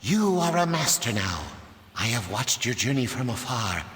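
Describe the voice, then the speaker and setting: A man playing a gentle, proud old martial arts master in a game cutscene, speaking in a measured, fatherly way. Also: mid-range voice, very animated. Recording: echoey hall with long reverb.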